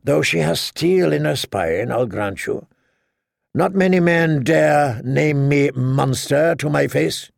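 A man voicing a cocky, cruel highborn knight with a mocking, sneering drawl for an audiobook. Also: takes it slowly, creaky, no room sound, studio quality, heavily acted.

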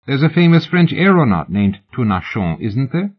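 A man reading an audiobook, voicing a mild, gray-haired older lady who speaks gently and courteously, on a dull lo-fi recording. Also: mid-range voice, big pitch swings, moderate pace, pitched above the performer's own voice.

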